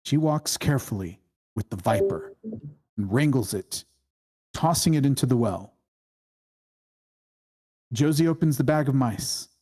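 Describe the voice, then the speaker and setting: Slow, husky screenplay narrator. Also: medium pitch, lively intonation, dark tone. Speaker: screenplay reader. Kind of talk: reading screenplay stage directions at a table read.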